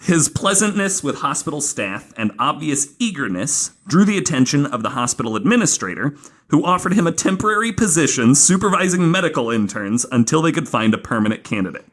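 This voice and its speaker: A man's voice: fairly high pitch, sing-song, fairly quick. A man hosting a podcast, telling a true-crime story casually and informally from notes, with some swearing. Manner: informal, profane, acting out quoted remarks.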